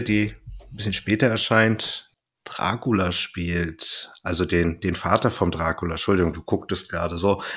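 A man hosting a podcast, chatting casually and wryly as he reviews audio dramas. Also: medium pitch. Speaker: podcast host.

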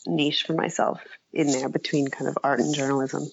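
Female interview guest, writer. Deep-set, gravelly fry, hesitant and modest conversational delivery, dull close recording. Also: fairly even intonation, moderate pace, dry recording. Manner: tentative, self-deprecating, searching for words.

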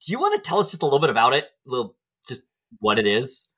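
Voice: medium pitch, huge pitch swings, rapid-fire, fairly bright tone. A podcast host asking a guest questions in a playful, teasing way. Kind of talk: casual chat.

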